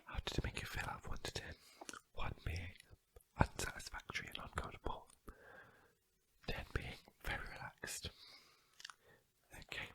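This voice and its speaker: A man speaking in a soft, breathy whisper, gently guiding and reassuring in an ASMR clinic roleplay. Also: poor audio. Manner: slow, gentle, reassuring, asking for feedback. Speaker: ASMR performer playing a sleep clinic tester.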